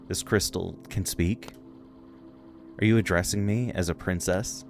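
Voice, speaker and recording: A man's voice: deep voice, fairly even intonation, unhurried, vocal fry. A man voicing a skeptical, reluctant young girl who has lost her memory, reading game dialogue aloud over music. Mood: puzzled.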